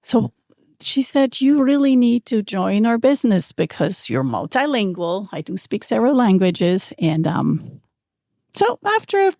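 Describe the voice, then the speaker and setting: A woman's voice: medium pitch, sing-song, a little slow, creaky, mellow, no room sound, studio quality. A middle-aged woman from Switzerland, a realtor telling her story in a podcast interview. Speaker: realtor guest.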